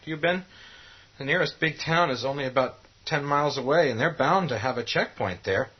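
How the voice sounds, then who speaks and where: A man's voice: medium pitch, a little fast, husky, natural voice. An actor playing a plain-spoken, helpful young local man, earnest and matter-of-fact, in a horror radio play. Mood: earnest, uneasy. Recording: dull, muffled audio.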